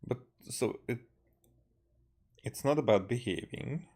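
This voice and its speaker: A man from Hungary, a native Hungarian speaker, casually reacting as he streams a game, halting and thinking aloud. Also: medium pitch, animated, darkish tone, husky.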